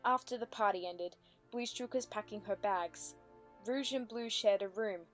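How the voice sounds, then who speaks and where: A woman reading scripted story narration as the narrator of a dramatic reading. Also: medium pitch. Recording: music under the voice.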